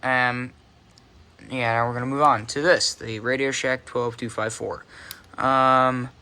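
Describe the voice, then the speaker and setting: Male hobbyist video creator, bright edgy tone, mid pitch, casual and halting, unscripted show-and-tell delivery. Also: steady tone, smooth. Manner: halting, offhand, self-interrupting.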